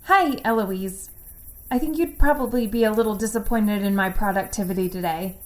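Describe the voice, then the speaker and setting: A woman's voice: medium pitch, normal speed, close to an everyday voice, low-quality recording. A woman playing an earnest, self-critical corporate worker recording a log entry in an audio drama, speaking in stilted, conscientious self-help jargon. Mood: contrite, earnest.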